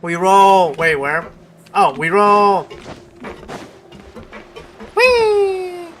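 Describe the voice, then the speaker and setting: Slow-paced woman streamer commenting. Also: medium pitch, wildly swooping pitch. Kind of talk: casual gameplay commentary. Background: video game dialogue and music.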